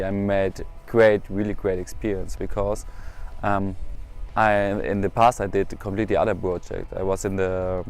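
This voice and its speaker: A man with a German accent speaks informally about his own experience. His voice sits low and sounds clean and smooth. His non-native English comes haltingly, with repeats and rewording. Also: a little mellow. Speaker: project participant, youth and adult educator. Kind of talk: informal spoken testimonial.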